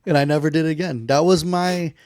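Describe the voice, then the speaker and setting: A man with a baritone voice co-hosting a podcast, telling stories in casual chat and laughing often. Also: fast talker, no echo, clean studio sound. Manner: animated storyteller, laughs often. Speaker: podcast co-host.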